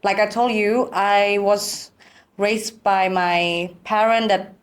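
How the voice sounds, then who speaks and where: A woman's voice: mid-range voice, steady tone, slow pace. A woman from Thailand, a podcast guest speaking emphatic, encouraging, simple in a candid conversation. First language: Thai and German. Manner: emphatic, encouraging, in simple non-native English.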